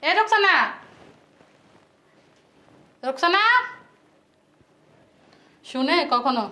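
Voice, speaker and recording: A woman's voice: high voice, fast talker, bright, forceful tone. A woman with a colloquial Bangladeshi accent playing a quarrelsome, sarcastic wife and nagging sister-in-law in a family drama. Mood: complaining, calling out. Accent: colloquial Bangladeshi Bengali. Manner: sarcastic, complaining.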